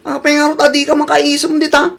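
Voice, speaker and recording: A woman's voice: high-pitched, completely flat delivery, quick pace, creaky. A woman playing a teasing, gossipy young male friend in an Ilocano Filipino radio comedy drama. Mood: gossipy, mocking.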